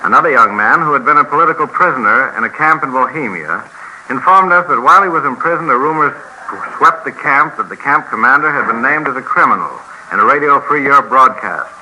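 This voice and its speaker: Very sharp-toned man giving radio report. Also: mid-range voice, lively intonation, fast talker, highs cut off. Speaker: program director giving a report. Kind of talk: scripted formal radio report. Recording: noisy vintage 1950s radio recording.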